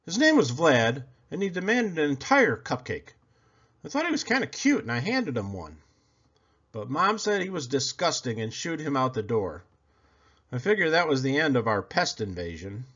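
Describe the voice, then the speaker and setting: A man reads a children's picture book aloud with a widely swooping, highly animated pitch. His delivery is theatrical and expressive, with words drawn out for effect. The recording sounds a little dull and lo-fi. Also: normal speed. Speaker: storybook reader. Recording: slightly dull, lo-fi audio.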